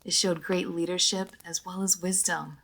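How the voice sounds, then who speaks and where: A woman playing a refined, reserved vampire noblewoman in a roleplay audio drama, speaking formally with measured diction, hesitant pauses and dry playfulness. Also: on the low side, flat delivery, fairly bright tone. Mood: wistful, reverent. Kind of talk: roleplay audio drama, intimate monologue to listener.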